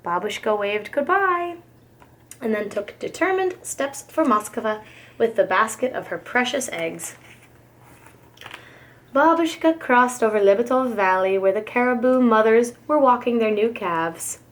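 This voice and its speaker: A woman with a fairly high voice narrates a children's picture book. She reads as a preschool teacher does, with careful, dramatic expression. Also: animated, measured pace.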